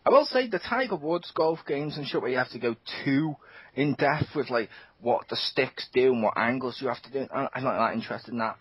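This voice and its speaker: A man's voice: medium pitch, lively intonation, brisk, breathy edge, dry recording. A man co-hosting a casual gaming podcast, telling rambling stories with plenty of swearing. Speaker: podcast co-host. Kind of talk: casual chat. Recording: dull lo-fi podcast audio.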